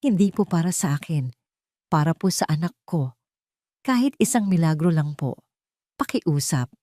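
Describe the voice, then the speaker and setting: A woman's voice: deep voice, animated, normal speed, dark tone, no room sound, clean studio sound. Woman voicing an anguished, pleading young mother. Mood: prayerful pleading. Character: anguished single mother, pleading for her sick child. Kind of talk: Tagalog audio drama storytelling.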